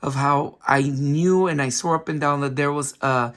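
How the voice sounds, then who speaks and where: A man hosts a casual video game review, his voice worn and hoarse after a cold. He rambles in a friendly way, restarting sentences and leaning on ums, and his pitch sits a little above the usual for a man. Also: steady tone, normal speed, a bit bright. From San Francisco Bay Area. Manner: chatty, many ums, restarts himself. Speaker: retro game collector channel host.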